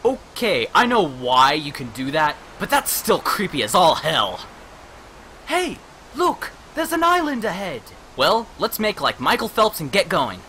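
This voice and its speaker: A man's voice: sits high, huge pitch swings, unhurried, sharp tone, a little hiss or hum, exaggerated voice. A man voicing a sarcastic, wisecracking young hero in a fan-made parody dub. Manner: snarky, wisecracking.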